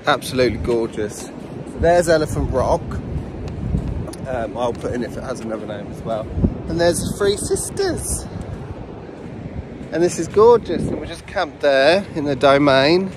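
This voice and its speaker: A man's voice: medium pitch, lively intonation, moderate pace, pauses often, noisy background. A man in his thirties, a travel vlogger, talking casually to a handheld camera on an outdoor beach, marvelling at the scenery. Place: outdoors on a wide beach at dawn. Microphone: handheld camera at arm's length. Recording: music playing under the voice.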